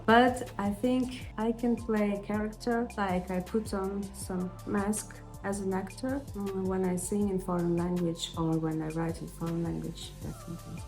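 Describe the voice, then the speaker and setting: A woman with a Russian accent talks through interview answers about her music, thoughtful and unhurried, often pausing with "uh" and restarting a word midway. Her pitch sits in an ordinary middle range. Faint music plays quietly behind her. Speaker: independent musician, interview guest.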